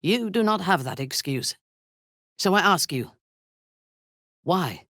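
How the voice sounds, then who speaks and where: A woman playing a ruthless, haughty drow warrior zealot in a fantasy video game, speaking in an imperious, menacing and deliberate way. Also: fairly low pitch, animated, a bit bright, no echo, clean studio sound, full character voice. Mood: grave, intense.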